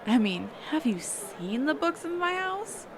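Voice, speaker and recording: Roleplay audio: actress as female mage mentor, sheltered and hesitant, unhurried, lightly high-pitched, conversational, over clear background ambience. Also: sing-song, noisy background, full character voice. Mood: flustered, curious. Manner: halting, hesitant, trailing off and self-correcting. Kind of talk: one-sided roleplay audio drama, conversational.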